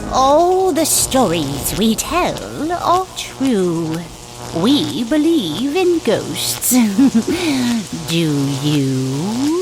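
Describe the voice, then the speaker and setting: A woman's voice: fairly high pitch, big pitch swings, drawn-out words, music in the background, exaggerated voice. A woman playing an eerie, teasing horror hostess in old-time radio style, theatrical and drawn out, in a noisy recording. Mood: spooky, teasing. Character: eerie woman who tells of ghosts, teasing and spooky.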